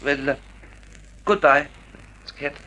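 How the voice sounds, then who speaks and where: An actor playing a timid, polite, half-blind older husband who hesitates and stammers, in an old-time radio drama. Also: male voice, fairly high pitch, measured pace, noisy background, natural voice. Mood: polite, hesitant. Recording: vintage radio drama recording.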